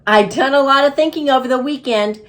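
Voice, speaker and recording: A woman voicing a small, eager, self-important male hamster in an expressive, exclamatory storybook telling. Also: high voice, a little fast, voice pushed up, close to an everyday voice. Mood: earnest, indignant.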